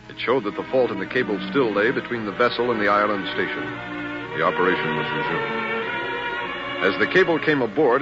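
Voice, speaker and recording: Deep-voiced male 1950s radio narrator. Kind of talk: 1950s radio documentary-drama narration, scripted. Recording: muffled 1950s radio recording, loud music.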